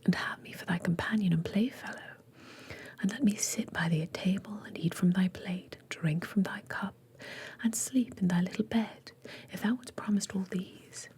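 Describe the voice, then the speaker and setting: A woman voicing an earnest male storybook frog in a breathy whisper, with a British accent and courtly, measured phrasing. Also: medium pitch, a little mellow. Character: male talking frog, earnest, pleading, old-fashioned British.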